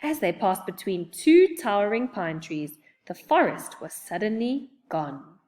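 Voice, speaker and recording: A woman reading a children's story aloud in an expressive narrating style. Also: medium pitch, a little slow, in a large hall. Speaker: storybook reader.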